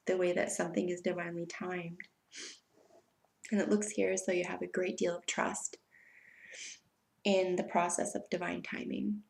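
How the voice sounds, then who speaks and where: A woman's voice: lowish voice, vocal fry, roomy sound. Stuffy-voiced woman reading tarot. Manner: soft, unhurried, spiritual invocations. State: stuffy from allergies. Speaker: tarot reader. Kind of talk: weekly tarot reading video.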